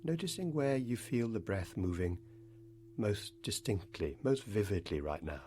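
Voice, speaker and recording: A man leading a guided meditation, speaking in unhurried phrases with long pauses between them. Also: lowish voice, big pitch swings, a little mellow, a little hiss or hum.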